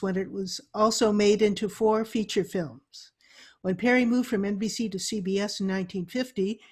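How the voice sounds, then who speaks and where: A woman's voice: medium pitch, a little mellow. A woman reading a scripted old-time radio introduction as the show's announcer.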